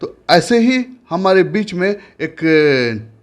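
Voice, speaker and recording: A man's voice: high-pitched, big pitch swings, slow pace. A man who narrates a news report in a formal tone and then interviews a guest, often hesitating when he speaks off script.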